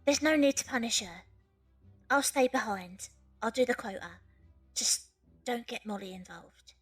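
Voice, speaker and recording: A woman's voice: on the high side, a little slow, husky, soft background music. A woman playing a tired, outspoken young match factory girl in an audio drama. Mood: pleading.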